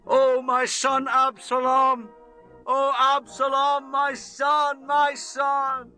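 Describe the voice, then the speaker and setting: Emotional ancient king, high bright voice, impassioned scripture declamation. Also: completely flat delivery, drawn-out words, soft background music, exaggerated voice. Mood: anguished grief. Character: solemn, emotional biblical king and father. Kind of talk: dramatized scripture acting.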